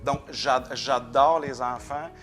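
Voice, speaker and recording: A man's voice: medium pitch, steady tone, slow pace, fairly bright tone, soft background music. A man with a Québécois French accent, a company president explaining his work in a casual interview, matter-of-fact. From Quebec.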